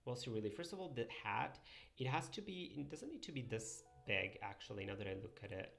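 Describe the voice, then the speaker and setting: A man's voice: mid-range voice, sing-song, a little fast, gravelly, fairly bright tone. A young man whose first language is Italian, casually thinking aloud and answering live chat while he draws pixel art. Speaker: streamer drawing pixel art.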